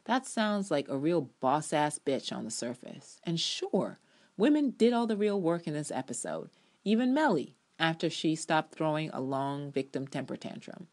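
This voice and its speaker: Woman, low voice, emphatic, opinionated, stretched key words, dramatic review reading with ad-libbed asides. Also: sing-song, moderate pace, darkish tone. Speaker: TV episode reviewer.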